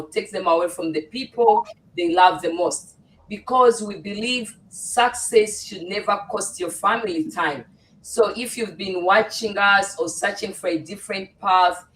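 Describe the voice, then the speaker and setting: A woman's voice: on the low side, slight background noise. A middle-aged woman hosting a casual livestream panel, welcoming and with frequent fillers. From Uganda. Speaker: host. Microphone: video call. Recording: distant from the mic.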